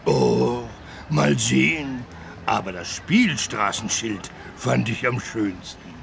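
A big cartoon elephant with a very gravelly, creaky voice. He is childlike and curious, talking slowly and naively in a children's game, with clear street noise behind him. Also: medium pitch. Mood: curious, friendly. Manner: slow, naive, wondering.

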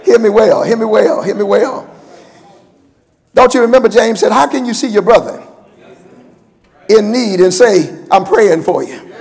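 Older male preacher. Very high, gravelly delivery, impassioned and emphatic, in a reverberant church hall. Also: normal speed, long pauses, a little mellow. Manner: impassioned, emphatic, with repeated calls to the congregation. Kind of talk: sermon. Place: large church sanctuary, at a pulpit.

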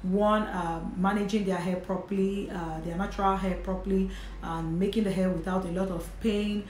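A woman's voice: mid-range voice, fairly even intonation, moderate pace, a little mellow. A Nigerian woman in her thirties, talking to camera about motherhood in a chatty, earnest way with light laughs. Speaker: vlogger, mother. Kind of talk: casual talk to camera. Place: indoor home office with bookshelf and curtains. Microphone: camera in front at desk distance. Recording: echoey room, faint hiss.

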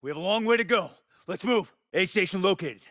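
A man's voice: high-pitched, expressive, a little fast, fairly bright tone, no room sound, clean studio sound. A man voicing a tough, urgent US soldier with an American accent, giving clipped, commanding callouts in a military shooter game. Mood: calm, focused. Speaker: video game voice actor.

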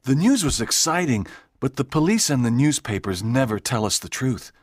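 A man's voice: mid-range voice, very animated, moderate pace, slightly rough, no echo, studio quality. A man playing an eager, earnest teenage boy who explains things in a dramatized audiobook. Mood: excited.

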